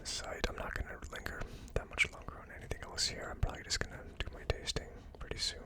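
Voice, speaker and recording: A person whispering in an ASMR style as host of a tasting video, with a tentative, musing delivery. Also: fast talker. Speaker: host of a whiskey tasting series.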